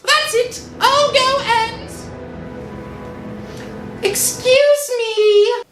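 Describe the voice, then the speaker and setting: A woman's voice: very high voice, very slow, a bit bright, faint music behind, heavily acted. A woman playing a nervous, insistent young wife in an old-time radio drama, pleading and evasive. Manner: pleading, brushing things off. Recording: echoey room sound.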